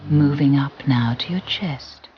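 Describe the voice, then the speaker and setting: A soothing, gentle voice guiding a relaxation and visualisation exercise, deliberate and with long pauses. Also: medium pitch, a little hiss or hum. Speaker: guided relaxation instructor. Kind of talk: guided visualisation narration. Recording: muffled recording with music behind. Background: background music.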